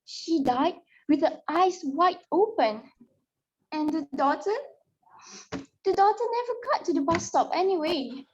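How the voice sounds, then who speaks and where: A woman as high-voiced storyteller of sad tales. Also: expressive, unhurried. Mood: grave, sorrowful. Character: Ida, young woman telling a sad ghost story. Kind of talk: dramatic reading of a story. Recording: muffled low-fidelity audio.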